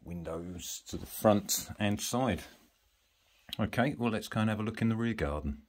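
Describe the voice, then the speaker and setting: A man giving a matter-of-fact, halting walk-through commentary as an estate agent, listing features as he moves through a house. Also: on the low side, animated, slight background noise. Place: walking through rooms of a house.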